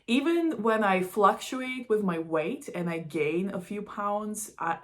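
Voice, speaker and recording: A woman's voice: medium pitch, slow pace. A woman from Russia talking casually and candidly to her viewers, stressing key words in a confessional vlog style. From Moscow, Russia. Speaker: vlogger. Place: studio, indoors.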